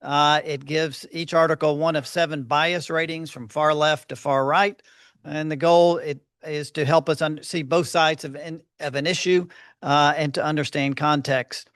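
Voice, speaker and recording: A soft-spoken man giving a measured, formal introduction at a luncheon podium, with frequent fillers. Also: a little high, fairly even intonation, dry recording, studio quality. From Fort Worth, Texas. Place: luncheon hall.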